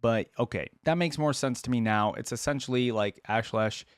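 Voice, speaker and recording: A man's voice: mid-range voice, normal speed, clean tone, studio quality. A man hosting a reaction video, commenting casually and musing aloud. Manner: musing aloud, echoing lines he hears.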